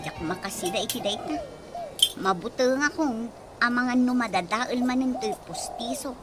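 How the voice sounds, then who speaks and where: A woman's voice: a little high, animated, moderate pace, over music. A woman in an Ilocano radio comedy drama, playing someone fretting over new dentures. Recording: noisy background hiss.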